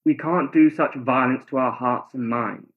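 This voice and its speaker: An actor playing a tender, ardent, pleading lover in a radio play, earnest and romantic in delivery. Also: male voice, mid-range voice, fairly even intonation, normal speed, lightly acted. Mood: tender, sorrowful. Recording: thin phone-like sound.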